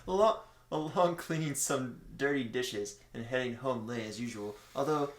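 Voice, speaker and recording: A man's voice: medium pitch, normal speed. A man casually reading a story aloud, mixing amateur narration with banter. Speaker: co-host reading fan fiction aloud. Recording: roomy, echoing room sound.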